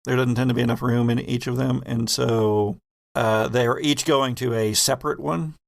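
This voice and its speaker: A man hosting a tabletop horror game as game master, narrating scenes in a measured, descriptive way. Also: medium pitch, fairly even intonation, vocal fry.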